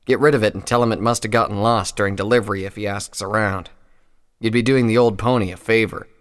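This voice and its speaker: A man's voice: on the low side, fairly even intonation, quick pace, clean tone, lightly acted. A man voicing a sour, scornful male pony in a story, muttering and sardonic. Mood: dismissive.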